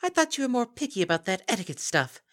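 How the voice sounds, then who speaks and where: A man's voice: high voice, sing-song, fast talker, a bit bright, pitched above the performer's own voice. A man voicing a sly, mocking female kelpie in a lilting sing-song manner for a story reading.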